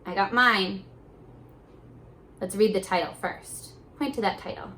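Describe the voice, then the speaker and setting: A young woman teacher at home on her kitchen floor, reading aloud slowly and sounding out words for young children. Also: mid-range voice, a bit bright, slight background noise. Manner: slow, encouraging, sounding out words.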